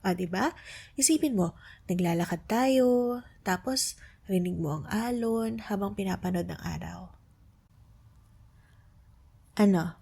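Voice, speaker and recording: A woman acting as an affectionate young Filipino girlfriend in an ASMR roleplay. She speaks slowly at a medium pitch with a Filipino accent, mixing teasing tenderness with patient language tutoring. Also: gravelly, dark tone, breathy edge. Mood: dreamy, affectionate. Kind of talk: soft ASMR roleplay, Tagalog lesson mixed with English.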